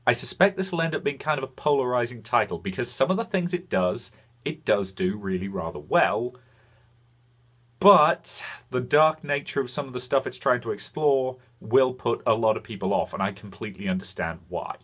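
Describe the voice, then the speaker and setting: British man, husky, animated game reviewer. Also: mid-range voice, moderate pace. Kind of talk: scripted review narration.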